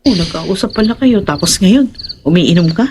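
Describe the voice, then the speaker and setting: Performer as worried, anxious wife, noisy recording. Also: female voice, lowish voice, animated, normal speed, close to an everyday voice. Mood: concerned. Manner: questioning, worried. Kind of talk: Filipino horror audio drama acting.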